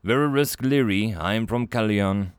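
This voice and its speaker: A man voicing a nervous, furry alien male who speaks formally and haltingly. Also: lowish voice, smooth, no room sound, lightly acted.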